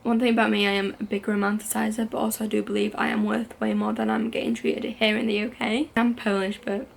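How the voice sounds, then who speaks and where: A woman's voice: mid-range voice, little pitch movement, quick pace, gravelly, a little hiss or hum. A woman, talking candidly and casually to camera as a vlogger, with frequent "like" and "um" fillers. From United Kingdom. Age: late teens. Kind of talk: casual confessional talk to camera.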